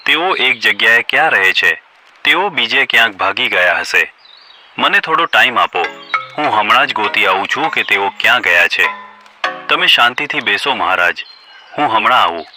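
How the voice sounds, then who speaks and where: A man's voice: fairly low pitch, lively intonation, moderate pace, over music, lightly acted. A man voicing a clever, polite donkey who speaks deferentially and coaxingly in a children's cartoon story. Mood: placating. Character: clever, polite male donkey pleading with a lion king. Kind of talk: animated children's story voice acting.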